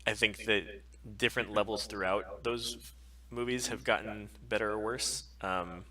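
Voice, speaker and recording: A man's voice: lowish voice, steady tone, moderate pace, bright, forceful tone. A man co-hosting a podcast live stream, chatting casually and bluntly with opinions and plenty of swearing.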